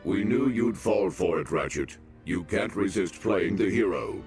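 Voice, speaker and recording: A scheming male villain from an animated game, low in pitch. He speaks deliberately, smug and boastful with a resentful edge, over clear background music.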